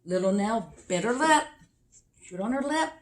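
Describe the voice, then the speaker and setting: A woman reading a picture book aloud expressively for children, with deliberate emphasis. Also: medium pitch, lively intonation. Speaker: children's story reader.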